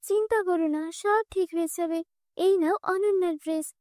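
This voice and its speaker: A woman voicing a kind female fairy in a cartoon story, with a gentle, reassuring manner. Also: very high voice, normal speed, no echo, clean studio sound.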